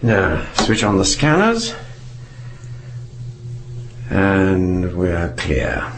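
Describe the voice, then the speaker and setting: A man's voice: low voice, very animated, drawn-out words, background noise, heavily acted. An actor playing a wry British embassy intelligence man in a radio drama, with an English accent and dry, understated delivery. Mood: wry, businesslike.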